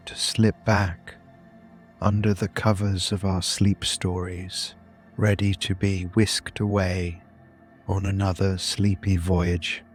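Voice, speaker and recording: A man narrating a scripted bedtime story in a soothing, deliberate manner, with long pauses between short phrases. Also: deep voice. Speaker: host and narrator of a sleep story podcast.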